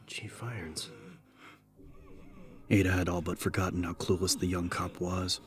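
A man narrating an audiobook in a measured, solemn, scripted dramatic style. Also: sits low, monotone, faint music behind.

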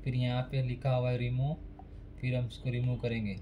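A man's voice: medium pitch, flat delivery, a little fast, muffled recording, a little hiss or hum. A man giving a casual step-by-step phone repair tutorial, speaking plainly and repeating each step while working at a desk. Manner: plain, repetitive, instructional.

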